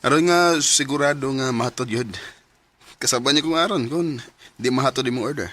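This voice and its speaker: A man's voice: mid-range voice, fairly bright tone, natural voice. A male actor with a Cebuano accent playing a conflicted young business owner in a radio drama, speaking hesitantly. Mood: casual, helpful. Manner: hesitant, halting. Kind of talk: Philippine radio drama acting.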